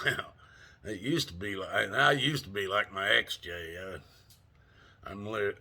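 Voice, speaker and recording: A man's voice: deep voice, creaky, sharp tone, husky. A man in his sixties telling a rambling, folksy story in a casual vlog, laughing often and cursing mildly. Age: 60s. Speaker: vlogger.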